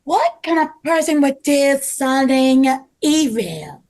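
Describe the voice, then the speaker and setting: A woman plays a young girl in a scripted read. The voice sits very high and moves slowly, with an innocent, inquisitive manner. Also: very animated, a little mellow, full character voice. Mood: concerned. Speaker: actor in a table read.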